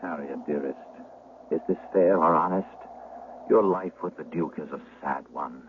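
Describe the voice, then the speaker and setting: An actor playing an ardent, brooding gentleman soldier of fortune with a formal, melodramatic manner in 1970s radio drama. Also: very deep voice, normal speed. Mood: yearning. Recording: muffled vintage radio recording, faint hiss.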